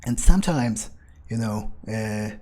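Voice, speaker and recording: A man's voice: on the low side, animated, slow pace, a little mellow. A man voicing a cynical, scornful fledgling vampire in a game playthrough, musing aloud hesitantly and profanely. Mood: calculating, musing. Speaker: player role-playing in character.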